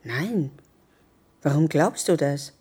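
An actress playing a grave woman who recounts cruel things in a measured radio drama monologue. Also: low voice, expressive, warm tone, husky, heavily acted. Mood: flat, curt.

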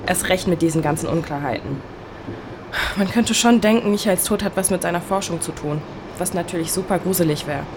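A young actress playing a level-headed, wry best friend in a naturalistic audio drama. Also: female voice, lowish voice, fairly quick, lightly acted. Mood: reasoning, reassuring. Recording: noisy subway ambience behind dialogue.

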